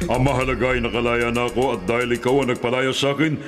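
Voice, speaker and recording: A man's voice: medium pitch, steady tone, fast, fairly bright tone, lightly acted. Man as a grand, reassuring genie over music. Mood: grateful, assured. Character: huge male genie, friendly, grateful, assured. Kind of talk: Filipino radio drama acting.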